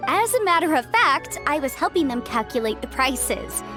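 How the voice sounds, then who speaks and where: A woman's voice: high voice, big pitch swings, moderate pace, music in the background. An actress voicing a breezy, self-assured young woman consultant in a video game, articulate and playfully defensive.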